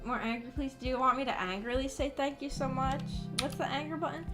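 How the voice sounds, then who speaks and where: A woman's voice: high-pitched, fairly even intonation, quick pace. A young woman chatting casually as a VTuber on a livestream, rambling in a giggly, hesitant way. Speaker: VTuber streamer. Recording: background music behind voice. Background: music.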